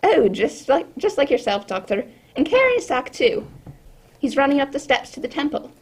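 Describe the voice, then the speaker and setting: A woman playing a fussy, scolding housekeeper with a creaky, husky voice in an amateur radio drama. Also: high voice, sing-song, moderate pace. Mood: disapproving.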